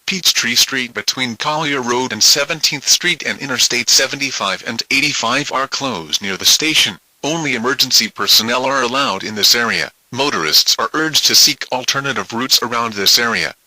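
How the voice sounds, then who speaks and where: A man's voice: mid-range voice, normal speed, hardly any pauses, pushed and bright, no echo, rough recording. A man reading a scripted emergency bulletin as an announcer, formal and impersonal.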